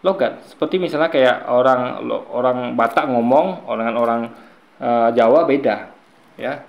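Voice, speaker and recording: A man's voice: mid-range voice, a little slow, gravelly, roomy sound, highs cut off. A man giving argumentative commentary on a video, lecturing his viewers and often calling them guys.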